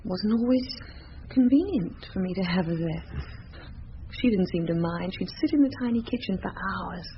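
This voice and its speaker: A woman's voice: mid-range voice, animated, normal speed, lightly acted. Woman playing a haughty, swooping young madame. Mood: disdainful. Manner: haughty, theatrical, disdainful. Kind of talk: radio drama acting. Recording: dull, slightly noisy radio drama recording.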